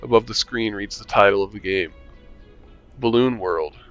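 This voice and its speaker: A man reads a visual novel aloud in a casual let's-play, chuckling and slipping in wry asides. His voice sits on the low side. The sound is a bit muffled, and soft game music runs underneath. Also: moderate pace.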